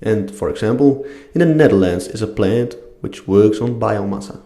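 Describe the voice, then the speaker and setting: A man's voice: on the low side, expressive, moderate pace, dark tone. A man with a non-native English accent giving a scripted educational narration. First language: not English. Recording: echoey room.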